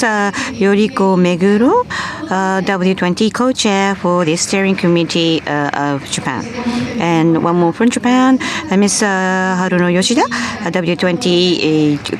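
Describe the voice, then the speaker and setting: A woman with a slightly low voice moderates a formal panel and then interprets for a speaker. She talks haltingly, with frequent fillers and restarts. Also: a crowd in the background. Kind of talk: conference moderation and live interpretation. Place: conference hall.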